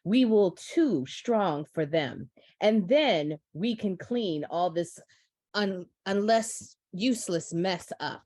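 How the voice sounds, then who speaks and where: An actress reads a commanding, maternal leader of women fighters. She speaks slowly and solemnly, exhorting her followers in a staged script read, with a dry, close sound. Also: mid-range voice, lively intonation, warm tone, no echo. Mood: stern warning. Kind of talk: live staged script reading.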